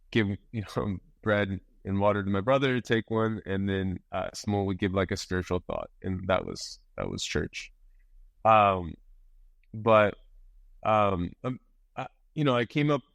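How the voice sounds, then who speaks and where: A young man, a guest, telling his life story casually, with many pauses and fillers. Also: lowish voice, gravelly, dry recording. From grew up abroad in Russia, China and Uzbekistan. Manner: relaxed, hesitant, many fillers. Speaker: guest musician and entrepreneur.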